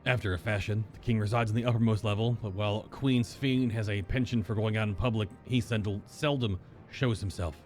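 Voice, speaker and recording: A man's voice: lowish voice, fairly even intonation, brisk, darkish tone. A man reading through a video game's story aloud as a streamer, in a live playthrough style. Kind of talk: live game playthrough, reading dialogue aloud with casual asides. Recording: game music under the voice.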